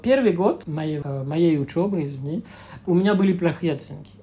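A young man from Belgium with a French-speaking Belgian accent, whose first language is French. He talks with a mellow, dark tone. He pauses often and hunts for words as an interview guest. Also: on the high side, lively intonation. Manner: hesitant, searching for words with many fillers. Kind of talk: casual interview talk.

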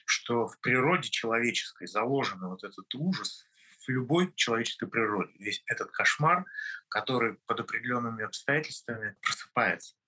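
A middle-aged man from Russia, a guest in an interview, speaking earnestly and emphatically in short, measured phrases. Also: mid-range voice, lively intonation, sharp tone.

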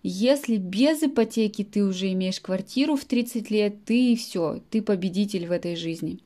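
A woman in her late twenties speaks to her language students with a warm, mellow tone. She talks in a measured podcast monologue, often breaking off to define a word in plain language, with soft fillers between thoughts. Her voice sits at an ordinary middle pitch. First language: Russian. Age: late 20s. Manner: explains words in simple terms, with frequent soft fillers. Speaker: Russian language teacher.

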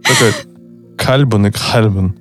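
A man with a somewhat low voice who isn't a native speaker chats casually on a podcast. He jokes around and stumbles through plenty of fillers and restarts, with soft music underneath. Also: measured pace. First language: not Russian. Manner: hesitant, many fillers and restarts, joking. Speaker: podcast co-host, psychologist. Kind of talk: casual chat.

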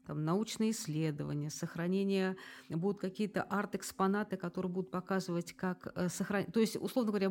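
A woman's voice: mid-range voice, a little mellow. A woman interviewer putting long questions, searching for her phrasing as she goes.

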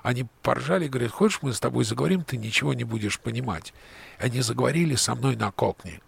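A man hosting a radio show with a deeply gravelly, husky voice, chatting with his guest, asking questions and telling anecdotes. The sound is dry and close, with no room around it. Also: fast talker, dry recording. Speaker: radio host.

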